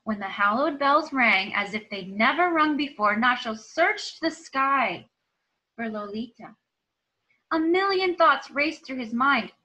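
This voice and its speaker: Female storybook reader, 30s, schoolteacher type, very bright tone, expressive and deliberate, recorded in a small room at home. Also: high-pitched, rough recording. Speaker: teacher reading aloud to pupils. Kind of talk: expressive children's storybook read-aloud.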